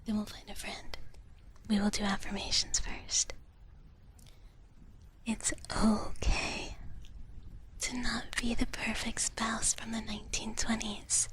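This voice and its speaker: A woman hosting an ASMR livestream in a breathy whisper, speaking soothingly and gently repeating her phrases. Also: a little high, flat delivery, takes it slowly, long pauses. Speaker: livestream host.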